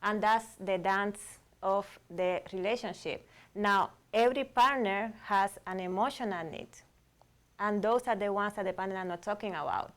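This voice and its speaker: A woman's voice: mid-range voice, moderate pace. A woman from Cuba, a guest doctor explaining relationship behavior step by step on a talk show. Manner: steady, explanatory, lays ideas out step by step.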